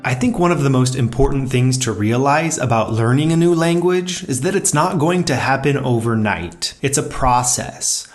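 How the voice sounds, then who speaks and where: A man calmly narrating an online course lesson as its instructor, in an encouraging, measured way. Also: medium pitch. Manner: calm, encouraging, measured delivery.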